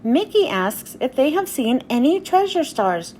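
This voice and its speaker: A woman's voice: high-pitched, sing-song, a little slow, darkish tone. A woman reading a children's storybook aloud as narrator, expressively, as if to young children. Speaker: children's book reader. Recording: faint background noise.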